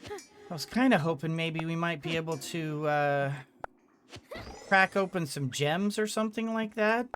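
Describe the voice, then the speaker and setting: A gamer giving casual commentary on a video game in a halting way, thinking aloud and repeating words. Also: mid-range voice, lively intonation, a bit bright. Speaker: gamer, let's-play host. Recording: clearly noisy background.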